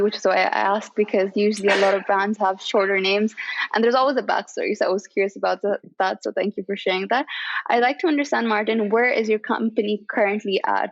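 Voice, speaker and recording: A woman hosts an interview and asks polite, curious questions at a brisk pace. Her recording is dry, with no room in it. The sound is slightly dull and lo-fi. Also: mid-range voice, gravelly, no echo.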